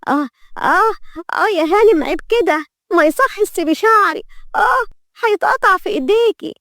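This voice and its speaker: A woman performs a young Egyptian maid for an audio story. She is high-pitched, anxious and flustered, forever muttering and pleading. The sound is close and dry. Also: expressive, quick pace, no room sound. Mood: pained, pleading. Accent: Egyptian Arabic.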